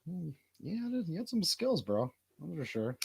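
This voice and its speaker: A man's voice: fairly high pitch, very animated, a little slow, vocal fry, breathy edge, no echo. A man hosting a casual stream, asking questions and teasing in informal bro slang. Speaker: stream host interviewing a friend.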